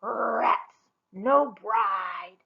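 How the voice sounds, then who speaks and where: A woman's voice: on the high side, extremely slow, gravelly, highs cut off, exaggerated voice. A woman voicing a grumpy male Bigfoot prince who snarls in broken grammar in a children's storybook. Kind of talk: children's storybook read-aloud.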